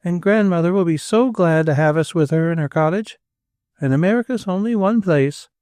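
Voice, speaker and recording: Gentle motherly little girl, as a male reader puts her on, soft and lifted. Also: sits high, normal speed, no echo, clean studio sound, voice pushed up, lightly acted. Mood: soothing. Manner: gentle, maternal.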